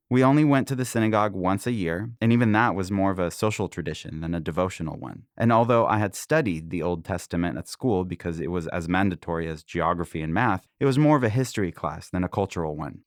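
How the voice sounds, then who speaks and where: Low-voiced man calmly reading his book. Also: no echo, studio quality. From Israel. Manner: calm, measured reading with soft chuckles. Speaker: podcast host and author.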